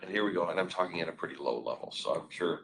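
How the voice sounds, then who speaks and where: Deep-voiced man co-hosting a webinar. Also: expressive, very fast, vocal fry, noisy background. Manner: informal, summarizing and prompting. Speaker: webinar co-host asking questions. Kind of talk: casual conversational webinar. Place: meeting room. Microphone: conference room array microphone.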